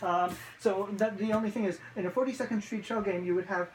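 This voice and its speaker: A man's voice: high voice, fairly even intonation, fast, poor audio. A man chatting casually and jokily to camera as a museum presenter. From New York City. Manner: playful, jokey, chatty. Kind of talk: casual unboxing chat to camera. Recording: clear background noise, live ambience.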